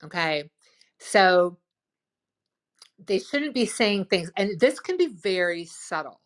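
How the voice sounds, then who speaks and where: A woman hosting a casual advice chat. She talks loudly and with enthusiasm, and fills her speech with "like" and "right?". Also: mid-range voice, very animated, slow. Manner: loud, boisterous, enthusiastic; lots of "like" and "right?". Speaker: host.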